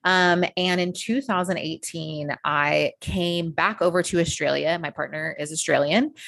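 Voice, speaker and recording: American woman coach, low gravelly voice, dry close sound, chatty and casual with some swearing. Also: unhurried, no echo. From United States. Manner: energetic storyteller, swears casually, many fillers. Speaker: coach, podcast guest. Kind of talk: casual podcast interview.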